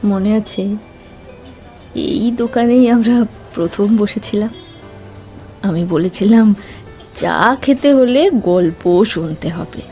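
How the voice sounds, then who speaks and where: An actress playing a gentle, nostalgic middle-aged woman reunited with an old love in a romantic audio drama, reflective and soft. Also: medium pitch, slow pace, long pauses, faint music behind. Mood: fond nostalgia.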